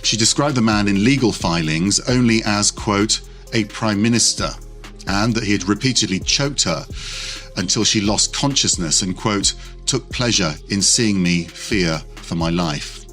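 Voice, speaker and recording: A man giving a measured, grave news report. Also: lowish voice, fairly bright tone, soft background music.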